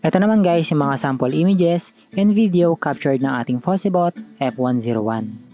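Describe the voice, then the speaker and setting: A man's voice: a little high, animated, normal speed, mellow, soft background music. A man with a Filipino accent giving a conversational, scripted voice-over as a tech review vlogger.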